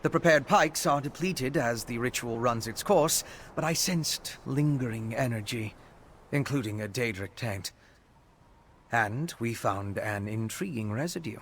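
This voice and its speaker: Earnest brainy scholar from a fantasy game, careful measured talk, noisy background ambience. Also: male voice, medium pitch, fairly bright tone. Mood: intrigued, analytical. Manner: measured, articulate, analytical. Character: earnest, inquisitive male scholar investigating dark rituals. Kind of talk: fantasy video game character dialogue.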